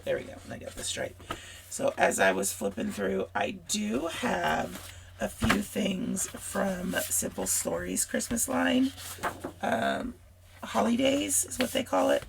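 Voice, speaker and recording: A woman hosting a scrapbooking vlog, hoarse from a cold and losing her voice, chatty and rambling as she talks straight to her viewers. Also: medium pitch, animated, slow, background noise. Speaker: scrapbooking channel host. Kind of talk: casual craft supply walkthrough.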